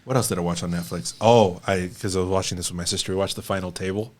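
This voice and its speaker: A man's voice: low voice, fairly even intonation, fast, a crowd in the background. A man joking casually and swearing as he co-hosts a podcast. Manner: joking, profane. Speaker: podcast co-host. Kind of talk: casual chat.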